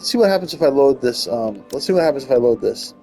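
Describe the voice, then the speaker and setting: A man's voice: mid-range voice, lively intonation, fast talker, dark tone. A man hosting a gaming video, reading game text aloud and adding casual comments. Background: game music.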